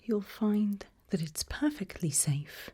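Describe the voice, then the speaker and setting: A British woman whispering gently in an ASMR roleplay as a calm, attentive sleep clinic practitioner, reassuring and soothing. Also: mid-range voice, slow. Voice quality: breathy, whispered.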